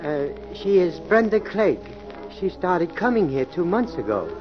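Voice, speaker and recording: Jittery polite waiter, stammers a lot, eager to please, muffled 1940s radio sound. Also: male voice, high-pitched, sing-song, unhurried. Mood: anxious. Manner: hesitant, stammering, deferential. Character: nervous, servile male nightclub waiter. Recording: muffled old radio recording, music behind.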